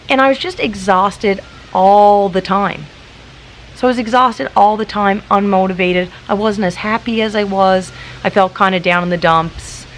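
A woman in her late thirties talking calmly and practically to camera, chatty and casual, recorded outdoors in a garden with some wind. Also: medium pitch, low-quality recording. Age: late 30s. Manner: calm, practical, chatty with many likes. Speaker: YouTuber. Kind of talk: casual vlog talk to camera. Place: outdoors in a garden in front of hedges.

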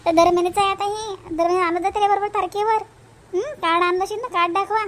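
A woman voicing a brisk village nurse in a cartoon, instructing and mildly scolding, with a Vidarbha Marathi accent. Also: very high-pitched, steady tone.

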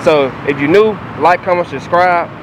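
A man's voice: fairly high pitch, moderate pace, noisy background. A young American man hosting pranks in casual, slangy banter, often repeating himself. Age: young adult. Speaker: prank show host. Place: outdoor parking lot and inside a large store.

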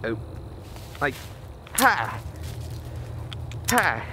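A man stammers and repeats himself in a jittery, mock-frightened way, his pitch leaping wildly up and down while he speaks slowly. He is recorded outdoors in the woods at night on a handheld camera. A faint hiss of noise sits underneath his voice. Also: big pitch swings. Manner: nervous, stammering, repeats words, voice cracks. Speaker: vlogger. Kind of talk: mock-scared comedic night vlog.

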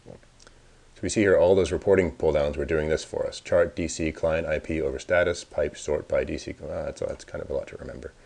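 A man's voice: extremely low pitch, steady tone, a little fast, vocal fry, a little mellow. A man narrating a casual screencast tutorial as the presenter, informally thinking aloud as he works. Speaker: software presenter giving a demo.

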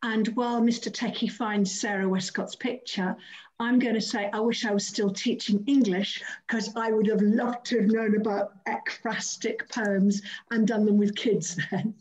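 A woman's voice: mid-range voice, steady tone, normal speed, darkish tone, lo-fi sound. A woman hosting a poetry event over a video call, chatting casually as she moderates questions. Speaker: host of an online poetry reading event. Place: indoors at home, on a group video call.

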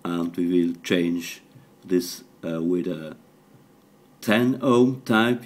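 Male hobbyist demonstrator, non-native English, low pitch, slow halting delivery in short technical phrases. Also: a little mellow. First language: not English. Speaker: electronics hobbyist demonstrating a radio modification. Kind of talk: hands-on technical tutorial, improvised. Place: indoors at a workbench.

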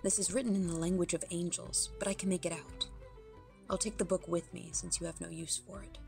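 A woman voicing a solemn, world-weary female wind demon in a fan radio play, grave and measured. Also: on the low side, fairly even intonation, gravelly, a little mellow, faint music behind. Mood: somber.

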